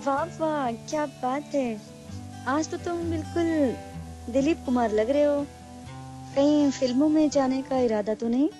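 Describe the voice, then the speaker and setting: A woman's voice: high-pitched, a little slow, music in the background. A woman acting a witty young woman in a radio play, answering her fiancé with playful teasing retorts. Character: witty young woman, loyal, quick to tease. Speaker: lead actress in a radio play.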